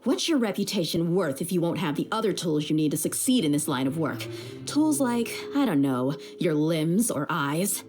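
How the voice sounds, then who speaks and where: A woman's voice: sits low, big pitch swings, moderate pace, a little mellow. A woman voicing a tough, confident young female mercenary with a blunt, streetwise manner in a video game. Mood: menacing, threatening. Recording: music behind the voice. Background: game music.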